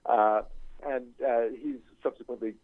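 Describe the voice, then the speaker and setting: An older man giving an unscripted interview as a guest professor, halting and searching, often circling back over his phrases. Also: mid-range voice, fairly even intonation, no room sound. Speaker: guest, screenwriting professor and writer. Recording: thin phone-like line.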